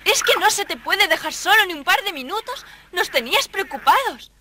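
A woman's voice: very high-pitched, big pitch swings, moderate pace, noisy background. An actress playing a caring, anxious young woman in a cartoon, full of concerned questions. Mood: worried. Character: worried, caring young Englishwoman, the professor's daughter. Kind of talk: animated cartoon dub acting.

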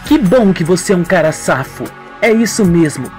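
A man's voice: sits high, animated, normal speed, a little mellow, soft background music. A man voicing a scheming young woman who plays innocent, coy and slangy, in an audio soap opera. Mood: cold, commanding.

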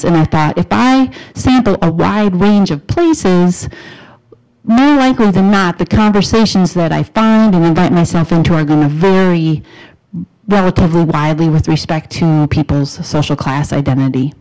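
A middle-aged woman from Wisconsin with a Wisconsin accent, giving an academic talk on a handheld mic in a lecture room, earnest and emphatic, pausing to rephrase. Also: deep voice, dark tone, poor audio. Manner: earnest, emphatic rising questions, pauses to rephrase. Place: lecture room in front of a projection screen. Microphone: handheld microphone.

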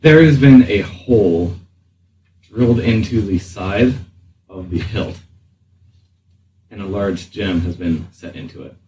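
Slow, halting male game master. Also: lowish voice, warm tone. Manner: halting, deliberate. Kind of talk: improvised tabletop game narration. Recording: roomy, distant mic, faint noise.

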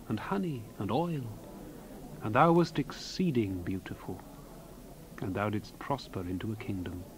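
An actor playing a solemn prophet who delivers God's reproach in a dramatized scripture reading, with grave declamatory delivery. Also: male voice, fairly low pitch, background noise, natural voice. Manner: grave, declamatory, archaic diction.

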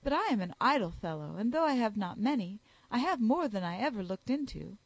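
A woman reading aloud, voicing a cheerful, easygoing young gentleman in a hearty manner. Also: fairly high pitch, big pitch swings, a little fast, no echo.

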